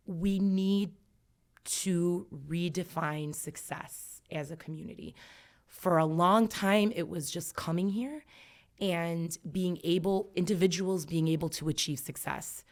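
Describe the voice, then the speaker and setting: A woman's voice: lowish voice, steady tone, a little slow. A woman in her thirties, an educator guest on a podcast, talking reflectively and emphatically with many fillers. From United States. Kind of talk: earnest podcast conversation.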